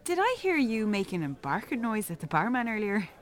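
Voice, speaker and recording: A woman's voice: medium pitch, big pitch swings, a little fast, close to an everyday voice. An actress in a radio drama playing a polite, chatty Irish married woman who talks plainly and sociably. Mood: chatty, curious. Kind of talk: naturalistic radio drama acting.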